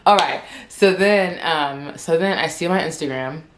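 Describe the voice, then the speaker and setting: A young woman in her twenties with a low, deep-set voice tells a long personal story straight to her viewers. She rambles and leans on "like" constantly. She stutters and doubles back on her words. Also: moderate pace. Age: 20s. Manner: chatty and rambling, heavy use of "like". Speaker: vlogger. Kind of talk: casual storytelling to camera.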